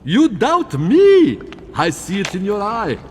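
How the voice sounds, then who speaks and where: An actor voicing a boastful, indignant genie in a video game, speaking theatrical broken English. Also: male voice, high-pitched, wildly swooping pitch, slow, darkish tone, big-room sound, a little hiss or hum, full character voice. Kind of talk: animated game character dialogue.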